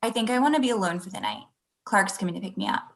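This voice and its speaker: A woman's voice: mid-range voice, expressive, fast talker, gravelly. An actress plays a suspicious young girlfriend in a comedy read. Her intonation moves around a lot. She is pointed and probing, speaking over a video call. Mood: hurt. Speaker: actor in a table read. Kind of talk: acted comedy table read.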